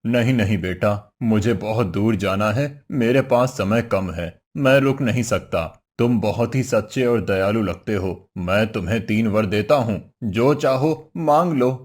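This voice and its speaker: A man's voice: lowish voice, a little slow, few pauses. A man voicing a hungry, weary old wandering holy man in a cartoon story, benevolent and pleading. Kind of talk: Hindi cartoon story voice acting.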